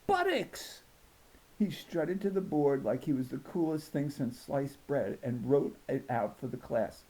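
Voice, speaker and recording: A man reading a children's novel aloud as an expressive first-person narrator. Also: medium pitch, unhurried, low-quality recording. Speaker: audiobook reader.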